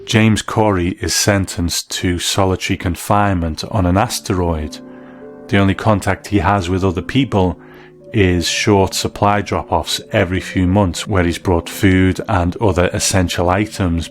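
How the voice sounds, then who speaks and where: A man with a very low voice presents as a documentary host, moving between conversation and explanatory narration. Music plays faintly behind him. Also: fairly even intonation, normal speed. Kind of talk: interview chat and explanatory narration.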